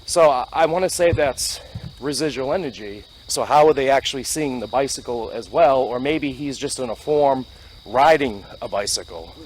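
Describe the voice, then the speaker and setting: Male ghost-hunter host, mid-pitched, outdoors at night with crickets and steady background noise. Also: moderate pace, rough recording. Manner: calls out loudly to spirits, otherwise low and musing. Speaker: paranormal investigator host. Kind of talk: casual narration while ghost hunting. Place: outdoors on a wooded road at night.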